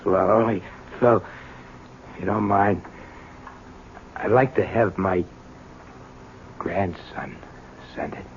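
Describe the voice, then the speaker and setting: An actor playing a frail, sick old convict, humble and wistful, speaking haltingly and meekly in a 1970s radio drama. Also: male voice, deep voice. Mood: wistful, pleading. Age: older. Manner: halting, meek. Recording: dull, noisy old radio broadcast recording.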